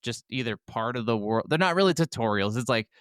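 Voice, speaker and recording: A man co-hosts a casual gaming podcast with a clear, smooth voice in a dry, close recording. He talks informally and swears now and then, at a medium pitch. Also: animated, a little fast, fairly bright tone, no room sound. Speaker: podcast co-host.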